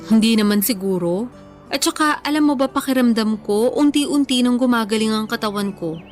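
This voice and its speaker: Actress as earnest, higher-voiced young woman, music behind. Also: normal speed, natural voice. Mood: hopeful. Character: earnest young woman on a weight-loss journey, hopeful. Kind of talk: Tagalog radio drama dialogue, conversational acting.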